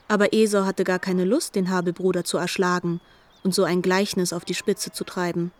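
A woman's voice: on the low side, level tone, a little fast. A woman narrating a literary radio drama as an articulate storyteller.

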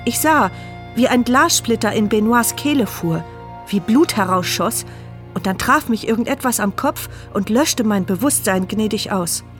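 Actress as a paralysed woman, radio drama inner monologue, dry, sardonic, reflective, soft music behind. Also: on the high side, animated, moderate pace, close to an everyday voice. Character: paralysed, blind, mute young woman, bitter and wry. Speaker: narrator.

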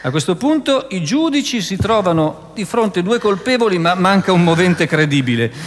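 A man's voice: high-pitched, sing-song, normal speed, slight background noise. A man delivering dramatic scripted narration as the narrator of a radio play. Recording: long reverberant hall, faint music.

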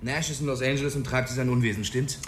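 An actor playing a tough, impatient, foul-mouthed cop with blunt slangy speech in a crime radio drama. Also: male voice, medium pitch, steady tone, quick pace, close to an everyday voice. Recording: loud music and street traffic behind.

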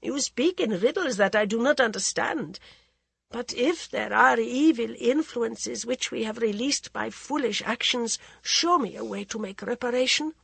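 A woman's voice: a little high, measured pace, vocal fry, fairly bright tone, breathy edge, no echo, voice pushed up, exaggerated voice. A woman voicing a proud, desperate queen. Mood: pleading. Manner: pleading then defiant, formal speech.

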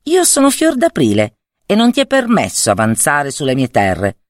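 A woman's voice: low-pitched, huge pitch swings, moderate pace, no echo, studio quality. A woman voicing an ardent young spring prince, proud and tender, in a storybook fairy tale. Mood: stern.

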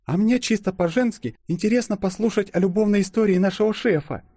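Man as a gushing, high-voiced doting young woman. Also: brisk, warm tone, no room sound. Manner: cooing, gushing.

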